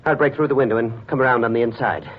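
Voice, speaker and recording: An actor playing an urgent, persuasive and scheming doctor in a theatrical old-time radio thriller. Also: male voice, medium pitch, fast, heavy background noise, natural voice. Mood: controlled urgency. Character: insistent, scheming male doctor urging a frightened woman along. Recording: muffled vintage radio drama recording with music.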